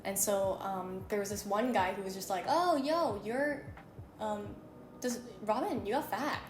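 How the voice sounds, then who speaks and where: A woman's voice: medium pitch, measured pace, faint music behind. Woman chatting in an echoey room. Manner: chatty, thoughtful, many fillers. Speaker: co-host of a beauty channel talk. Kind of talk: casual chat.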